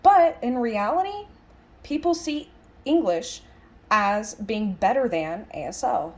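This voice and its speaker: A woman's voice: fairly high pitch, expressive, takes it slowly, darkish tone. A woman interpreting a lecture aloud in a conversational, reflective style, musing with emphatic phrasing and rhetorical questions. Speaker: sign language interpreter voicing a signed lecture.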